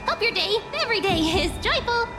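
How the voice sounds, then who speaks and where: Super high cutesy girl for an anime game, playful and dreamy, music playing under her. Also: very animated, brisk. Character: playful, dreamy young girl, coy and earnest. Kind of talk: anime-style video game voice acting.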